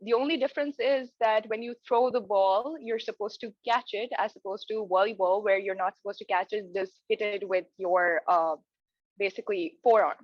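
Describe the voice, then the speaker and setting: A woman's voice: mid-range voice, steady tone, moderate pace, no room sound, muffled recording. A young woman from Pakistan, a residency applicant, answering mock interview questions earnestly with frequent hesitant fillers and restarts over a video call.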